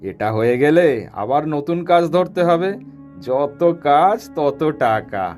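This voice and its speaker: A man's voice: on the high side, lively intonation, slow, darkish tone, soft background music. A man playing a greedy, self-important businessman in a cartoon, demanding and domineering. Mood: demanding, smug. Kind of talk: cartoon voice acting.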